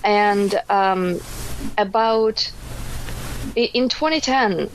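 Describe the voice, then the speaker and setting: A Russian-born woman answering interview questions casually, pausing often with ums and restarts. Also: mid-range voice, a little hiss or hum, poor audio. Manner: hesitant, many ums and restarts. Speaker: podcast guest.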